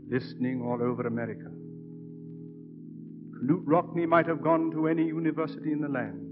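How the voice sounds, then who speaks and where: An actor playing a grave, reverent clergyman, giving a measured, oratorical eulogy in old radio drama style. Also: medium pitch, close to an everyday voice. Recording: dull old radio recording, music behind. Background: music.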